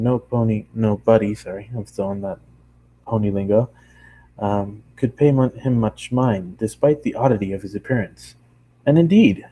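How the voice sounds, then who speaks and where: A man's voice: medium pitch, mellow, poor audio. A man narrating a story aloud in an amateur reading, now and then stumbling and correcting himself. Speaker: amateur fan fiction reader.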